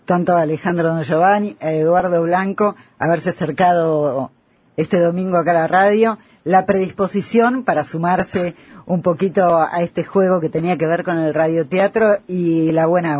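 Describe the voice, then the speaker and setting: An Argentine man co-hosts a radio interview in a casual, bantering style. His voice is high for a man and noticeably husky. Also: moderate pace, darkish tone. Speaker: radio co-host.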